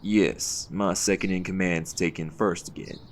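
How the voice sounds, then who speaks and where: An actor playing a calm, authoritative vigilante leader in an audio roleplay, with a folksy, firm way of talking. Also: male voice, deep voice, moderate pace. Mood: firm, patient.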